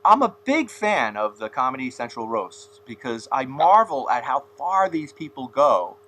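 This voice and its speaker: Man, podcast host, rambling storyteller, lively pitch, slight background noise. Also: moderate pace, rough recording. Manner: rambling, laughs at his own stories. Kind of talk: casual rambling chat.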